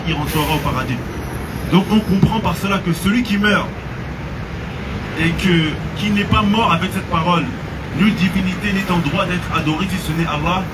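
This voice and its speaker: A man's voice: sits high, distant mic, noisy background. A man from France giving an earnest outdoor sermon, mixing in Arabic phrases. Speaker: preacher. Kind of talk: street preaching to a crowd.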